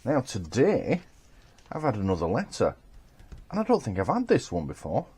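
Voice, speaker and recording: A man's voice: medium pitch, wildly swooping pitch, moderate pace, a little mellow. A man giving casual commentary to viewers as a video creator. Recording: clearly noisy amateur clip with clicks.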